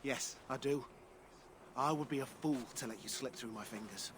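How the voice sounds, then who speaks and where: A man's voice: medium pitch, normal speed, a bit bright, a little hiss or hum, close to an everyday voice. An actor playing an impassioned male dwarf devoted to his love, in a fantasy video game cutscene. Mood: tender.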